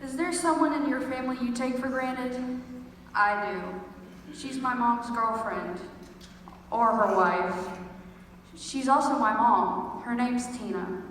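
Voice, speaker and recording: A teenage girl speaks earnestly in a slow monologue, her voice a little high. The sound is very reverberant, like a big hall. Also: fairly bright tone. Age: teens. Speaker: high school theater student. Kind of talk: earnest personal monologue to an audience. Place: large echoey room at a school performance. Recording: echoey hall from far off, faint noise.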